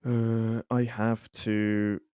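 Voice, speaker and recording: A man with a slightly low voice talks through an in-character tabletop game discussion. He speaks slowly and hesitantly, feeling his way through each phrase. The sound is close and dry. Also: level tone, mellow, smooth, no echo. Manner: hesitant, halting delivery. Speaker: tabletop roleplaying game player.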